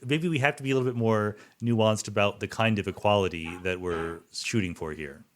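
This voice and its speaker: A man's voice: fairly low pitch, a little fast. A man hosting a conversational podcast interview, thinking aloud in a reflective, careful way. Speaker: podcast host interviewing a guest.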